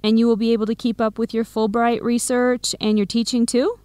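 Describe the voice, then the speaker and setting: A woman playing a supportive, hardworking young American friend in a scripted radio drama, conversational and clearly enunciated, with an American accent. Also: fairly high pitch, level tone, brisk, a little mellow, dry recording, close to an everyday voice. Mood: earnest, curious. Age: young adult.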